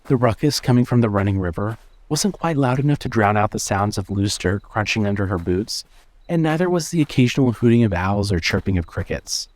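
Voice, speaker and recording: A man narrating a scripted horror story in a measured, suspenseful way. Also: on the low side, lively intonation, darkish tone, no echo.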